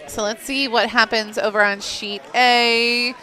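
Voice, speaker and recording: A woman's voice: on the high side, little pitch movement, bright, forceful tone, some chatter behind, poor audio. A woman from Iowa doing light, easygoing color commentary on a curling match and laughing easily. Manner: light, laughs easily, quick agreeing remarks.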